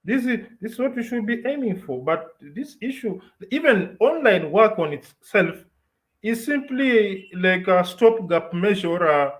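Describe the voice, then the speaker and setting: A Kenyan man with a fairly high-pitched voice talks in a relaxed podcast chat. He pauses on fillers, then presses his points firmly, now and then dropping into Swahili. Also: poor audio. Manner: code-switches into Swahili, hesitant with fillers then emphatic. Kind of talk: casual chat.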